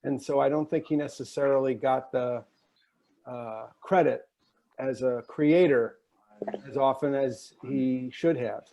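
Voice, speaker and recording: A man's voice: mid-range voice, fairly even intonation, a little slow, vocal fry, warm tone, highs cut off. A man speaking reflectively in a book club discussion, often searching for his words as he goes. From Oakland, California area. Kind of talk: casual reflective discussion talk. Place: virtual discussion video call.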